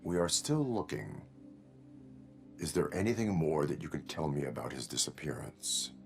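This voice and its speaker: An actor playing a stern cyborg police officer in a video game, speaking in formal, stiff, by-the-book phrases. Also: male voice, extremely low pitch, very animated, normal speed, gravelly, faint music behind, exaggerated voice.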